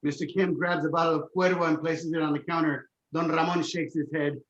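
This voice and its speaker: Higher-voiced man narrating in an echoey room. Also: steady tone, fairly quick, lo-fi sound, background noise. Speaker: stage directions narrator. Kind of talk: scripted narration, screenplay table read.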